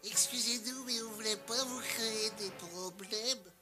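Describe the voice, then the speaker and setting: A woman voicing an eager, admiring little boy Smurf in an animated cartoon, earnest in manner. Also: a little high, unhurried, over music, lightly acted.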